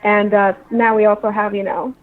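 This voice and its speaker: A woman's voice: medium pitch, level tone, normal speed. A woman speaking as an interview guest, explaining things in an informal, conversational way over a remote call. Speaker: interview guest, book publisher. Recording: tinny phone-like call line.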